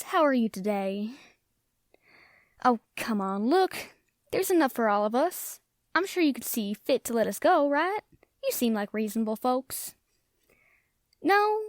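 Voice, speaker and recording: A woman's voice: high-pitched, no echo, studio quality. A woman playing a brash, brave, wry treasure hunter in an audio roleplay, bantering informally with the listener. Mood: mock-friendly, sarcastic. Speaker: voice actor.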